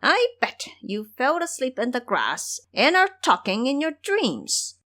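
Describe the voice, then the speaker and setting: A woman voicing a mischievous, sharp-tongued male monkey disciple in an audio drama, taunting and bossy. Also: high voice, wildly swooping pitch, a little slow, voice pushed up, exaggerated voice. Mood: teasing. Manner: taunting, scolding.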